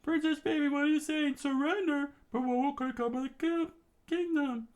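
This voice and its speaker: A man voicing a panicked young male palace guard in a strained high falsetto, reporting urgently. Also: fairly even intonation, normal speed. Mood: alarmed.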